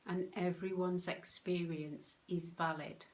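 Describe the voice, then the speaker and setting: A woman leading a guided meditation in a calm, soothing, unhurried way, with pauses between short phrases. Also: lowish voice. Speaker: meditation guide, soul coach.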